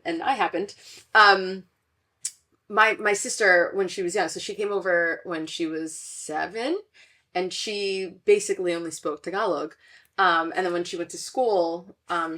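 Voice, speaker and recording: Young woman hosting a chatty podcast, lowish voice. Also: sharp tone. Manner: chatty, many fillers and restarts, laughs often. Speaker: podcast host. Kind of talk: casual chat.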